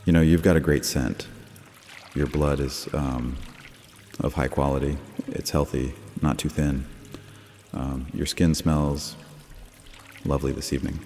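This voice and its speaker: A man's voice: a bass voice, flat delivery, measured pace, pauses often, dark tone, a little hiss or hum. A man playing a cocky, carefree, flirtatious vampire in an immersive fantasy audio drama, teasing and lazily seductive. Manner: teasing, lazy, seductive, flippant. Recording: long reverb, music bed, water sounds.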